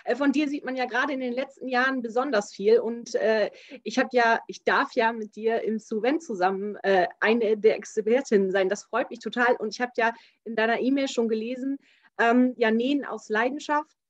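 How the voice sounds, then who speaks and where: A woman's voice: fairly high pitch, fairly even intonation, moderate pace, no room sound. A woman in her thirties hosting a casual video-call interview, chatty and enthusiastic with many fillers. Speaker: interview host. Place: small home room with shelves. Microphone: webcam on a video call. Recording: dull, dry video-call audio.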